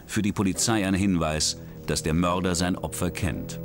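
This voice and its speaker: A man reading scripted true-crime documentary narration in a measured, dramatic way. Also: sits low, steady tone. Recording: studio voice-over with music behind.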